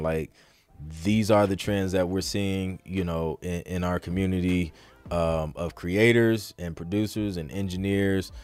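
A man's voice: sits low, no echo. A man hosting a podcast in a casual live Q&A, talking informally with slang and thinking aloud as he goes. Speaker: podcast host answering listener questions.

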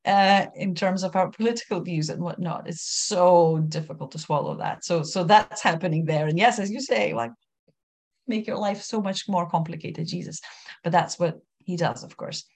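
A woman's voice: fairly low pitch, normal speed, vocal fry. A woman whose first language is Lithuanian, thoughtfully explaining scripture in a casual discussion. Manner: thoughtful, explanatory, light laughs.

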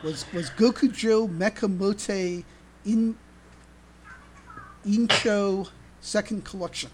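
A podcast host chatting casually in a halting, stammering way, often repeating words. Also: mid-range voice. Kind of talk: casual panel discussion. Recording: noticeable background noise.

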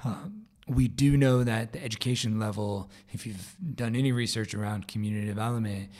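A man with a low, raspy voice, hoarse from a vocal cord problem, hosts his podcast alone. He talks in a loose, casual way, full of fillers and restarts. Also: steady tone, brisk, darkish tone. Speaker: podcast host. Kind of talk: solo podcast update.

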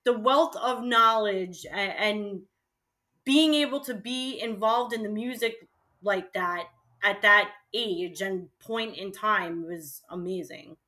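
A woman's voice: mid-range voice, takes it slowly. A middle-aged woman reminiscing casually and emphatically as an interview guest, peppering her sentences with "like". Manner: animated, emphatic, peppers speech with "like". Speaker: interview guest, former college radio host.

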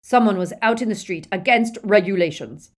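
A woman voicing a stern male dark-wizard henchman in an audiobook, loud, accusing and threatening. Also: lowish voice, a little slow.

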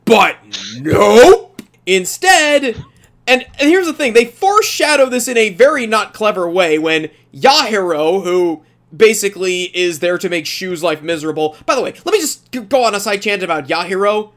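A man's voice: high-pitched, animated, normal speed, a bit bright. A man leads a casual review podcast. He speaks emphatically and with strong opinions. He slips into mock quotations as he retells things. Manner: emphatic, mock-quoting storyteller. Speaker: podcast host leading an anime review.

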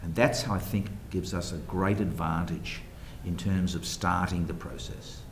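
Deep-voiced New man, echoey room. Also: steady tone, moderate pace, a little hiss or hum. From New Zealand. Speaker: guest, private equity investor. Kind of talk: radio interview at a banquet. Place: banquet hall.

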